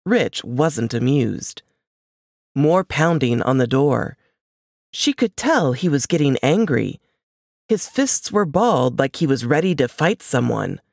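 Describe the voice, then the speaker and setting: A woman's voice: a bass voice, sing-song, slow pace, vocal fry, darkish tone, dry recording, studio quality. A woman reading scripted suspense fiction as an audiobook narrator.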